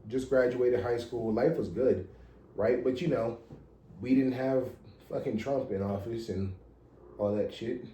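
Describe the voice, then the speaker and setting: Very mellow, dark-voiced American man, echoey room. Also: mid-range voice, unhurried, slight background noise. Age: 30s. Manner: blunt, slangy, profane. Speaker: podcast co-host. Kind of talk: casual chat.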